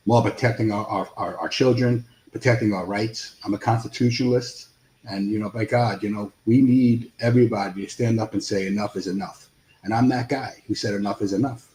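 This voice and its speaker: A man in his fifties with a New York accent and a lowish voice speaks as a guest, confident and upbeat, sometimes answering with crisp short affirmations. He sounds as if he is in an echoey room, with faint background noise behind him. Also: fairly even intonation, fairly quick, darkish tone. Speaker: congressional candidate guest.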